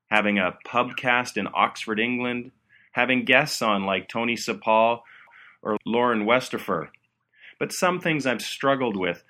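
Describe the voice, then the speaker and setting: A man's voice: lowish voice, bright, forceful tone. A man hosting a medical education podcast, explaining with upbeat enthusiasm. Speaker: podcast host.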